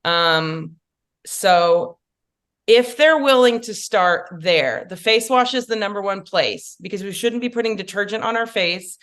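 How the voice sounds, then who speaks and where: A woman's voice: medium pitch, unhurried, a bit bright. A woman makeup artist, chatting casually as a podcast guest with many fillers and asides.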